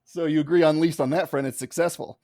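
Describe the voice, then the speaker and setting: Guy doing a mock courtroom defense, punchy slangy delivery, voice a bit high, dry recording. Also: steady tone, fast, mellow, no echo. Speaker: mock-trial defense advocate on a film podcast. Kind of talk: theatrical courtroom argument mixed with casual banter.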